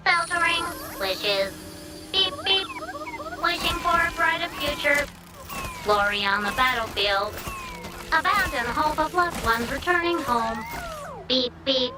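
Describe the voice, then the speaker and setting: Tiny high-pitched, slow-talking robot. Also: female voice, low-quality recording. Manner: clipped robotic announcements, word by word. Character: small childlike robot that tastes wishes, innocent and literal. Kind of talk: video game character acting.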